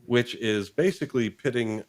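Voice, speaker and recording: A man hosting a podcast, chatting and interviewing in an informal, halting, agreeable manner. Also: medium pitch. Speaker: podcast host. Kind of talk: casual, conversational interviewing.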